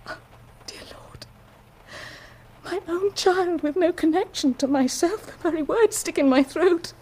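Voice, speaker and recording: An actress playing an anguished, dignified married woman confessing a secret, speaking formally and with deliberate passion in a period radio drama. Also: extremely high pitch, darkish tone. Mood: anguished confession. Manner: formal, deliberate, impassioned, declamatory.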